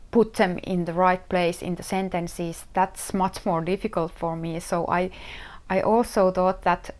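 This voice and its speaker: Woman, Finnish accent, middle-aged, unhurried careful delivery, frequent ums and restarts, casual solo podcast. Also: medium pitch. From Finland. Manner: hesitant, many fillers and restarts, searching for words. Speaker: knitting podcast host.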